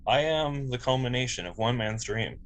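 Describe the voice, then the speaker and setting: A man playing a polite, logical android officer in a science fiction table read, speaking formally and precisely with calm dignity. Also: mid-range voice, normal speed, natural voice. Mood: quietly resolute. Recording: clear background noise, room ambience.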